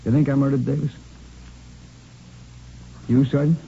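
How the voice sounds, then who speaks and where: Cocky, muffled old-radio murder suspect. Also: male voice, mid-range voice, little pitch movement, a little slow, gravelly, mellow. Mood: needling, guarded. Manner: rambling, casual, repeats himself with stammered restarts. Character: talkative, cocky male murder suspect, casual and unrepentant. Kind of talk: vintage radio crime drama acting. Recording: muffled, noisy vintage radio recording.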